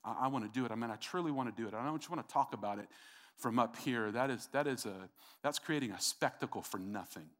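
A man's voice: mid-range voice, fast, fairly bright tone. Earnest male pastor preaching. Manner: earnest, repeats himself, talks to the congregation. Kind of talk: sermon.

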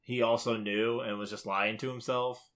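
Bright-voiced man in casual podcast chat. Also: medium pitch, flat delivery, normal speed. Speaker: podcast co-host. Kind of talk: casual chat about video games.

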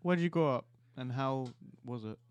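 A teenage man co-hosting a podcast, asking curious questions in casual chat, sometimes rushing his words. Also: medium pitch, clean tone, dry recording, lo-fi sound. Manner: curious, asks questions, quick rushed phrasing. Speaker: podcast co-host.

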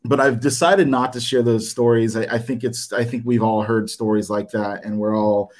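A man in his thirties whose first language was Japanese, giving a nervous, halting personal testimony to a church congregation, full of fillers and restarts. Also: medium pitch, steady tone, a little mellow. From United States. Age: 30s. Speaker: church member giving a talk.

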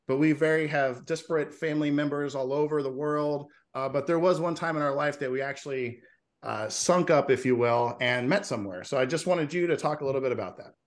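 A man's voice: fairly high pitch, brisk. A man in his forties hosting a casual interview podcast, friendly and chatty, laughing easily. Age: 40s. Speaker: podcast host.

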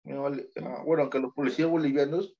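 A man's voice: on the high side, steady tone, fast talker, dark tone, dull audio. A Peruvian man with a Peruvian Spanish accent, telling anecdotes in casual chat with hesitant restarts. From Peru. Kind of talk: casual storytelling.

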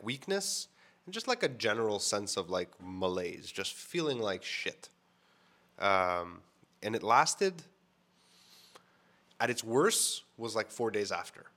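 A man with a fairly low voice chats to camera in a loose, frank vlog style, peppering his talk with fillers and the odd swear word. His voice sounds a little stuffed up and congested. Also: sharp tone. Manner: chatty, frank, swears casually, many "like" fillers. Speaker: vlogger. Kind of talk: casual storytelling to camera. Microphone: mic on camera setup.

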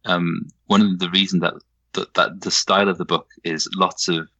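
A man with a Welsh accent softened toward English, talking casually as a novelist guest, hesitant with many fillers and restarts. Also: low-pitched, little pitch movement, moderate pace, no room sound. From Wales. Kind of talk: casual conversation.

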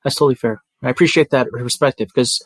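A man's voice: medium pitch, fast, no echo. A man moderating a panel, asking loose questions full of fillers and offering easy agreement. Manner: easygoing, filler-heavy questions with warm backchannels. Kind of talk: conversational panel discussion.

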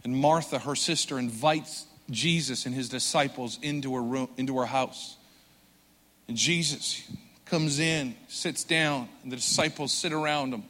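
Earnest preacher man in a reverberant hall. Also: mid-range voice. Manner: earnest, swells loud for emphasis then drops soft and slow. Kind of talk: sermon.

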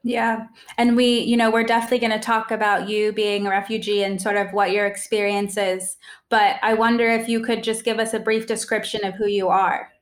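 A woman's voice: medium pitch, flat delivery, a little fast. A woman hosting a podcast, reading a scripted introduction and asking curious, conversational questions. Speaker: podcast host.

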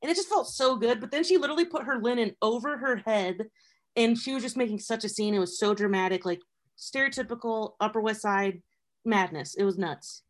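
High-voiced, chatty male podcast host. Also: fairly quick. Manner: upbeat, chatty storyteller. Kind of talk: casual podcast chat.

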